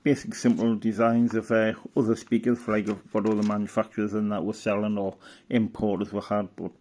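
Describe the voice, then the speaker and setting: A man giving casual, unscripted hobbyist commentary, halting and muttering as he browses, often trailing off. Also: lowish voice, level tone, darkish tone. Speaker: hobbyist presenter.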